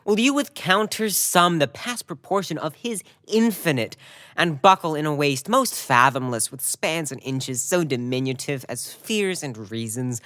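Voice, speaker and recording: A woman's voice: low-pitched, animated, a little slow, a bit bright, no echo, clean studio sound. A woman playing a proud, scornful young prince in a Shakespearean audio drama, impassioned and rhetorical.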